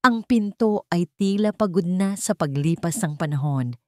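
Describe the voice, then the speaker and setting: A woman's voice: on the low side, animated, moderate pace, dry recording, studio quality. A woman with a Filipino accent reading a scripted dramatic story as its narrator.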